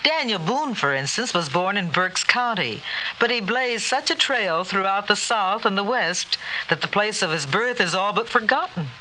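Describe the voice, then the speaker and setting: A man reading scripted radio narration in a measured, formal storytelling manner. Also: sits high, animated, pushed and bright. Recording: faintly noisy old radio recording.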